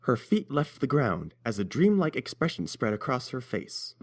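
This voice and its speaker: A man's voice: medium pitch, very animated, normal speed. A man narrating a scripted story as its reader.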